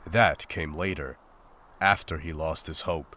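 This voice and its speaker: A man playing a grim, war-weary monster hunter in a visual novel, speaking in a solemn, formal, measured way. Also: rumbling low, fairly even intonation, pushed and bright, full character voice. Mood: somber. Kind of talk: visual novel voice acting, dramatic journal narration and dialogue.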